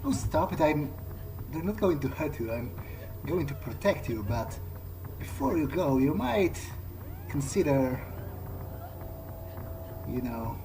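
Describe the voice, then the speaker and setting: A man giving in-character video game commentary, thinking aloud in a halting, musing way. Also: fairly high pitch, sing-song, noisy background. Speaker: gamer doing an in-character playthrough. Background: game music.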